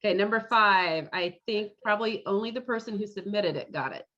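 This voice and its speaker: Female quiz host, casual and conversational, middle pitch, muffled video-call sound. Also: a little fast, a bit bright. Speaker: quiz host at an online fan club meeting. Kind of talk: casual game hosting. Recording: dull, lo-fi video call audio.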